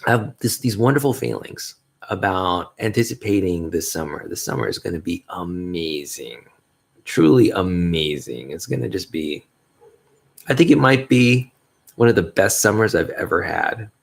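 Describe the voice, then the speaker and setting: A man hosting a solo podcast, talking in a mellow bass voice, upbeat and rambling, with frequent chuckles. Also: expressive, moderate pace, vocal fry, breathy edge. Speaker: podcast host. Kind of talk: casual solo podcast monologue.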